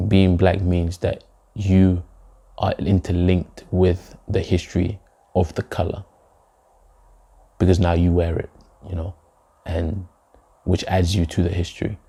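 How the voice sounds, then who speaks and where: A young man from Denmark, a poet, giving reflective, measured interview answers. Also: low-pitched, creaky, warm tone, husky. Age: young adult. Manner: reflective, measured, with filler phrases. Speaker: poet and guest. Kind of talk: spoken word poem, then interview answers.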